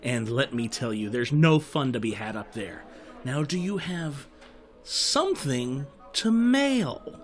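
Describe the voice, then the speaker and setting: A man's voice: mid-range voice, expressive, slow. A man playing a harried, chatty bird who works as a postal clerk, rambling and exasperated in an audio drama. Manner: exasperated, rambling, customer-service patter.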